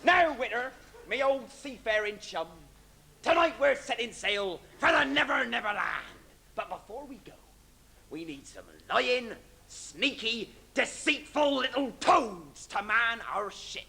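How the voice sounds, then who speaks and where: An amateur actor playing a blustering, theatrical pirate captain as a comic pantomime villain, bellowing to the audience on a live stage. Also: male voice, very high-pitched, animated, a little slow, vocal fry, bright, forceful tone, exaggerated voice. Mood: scheming, blustering. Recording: noisy live stage recording.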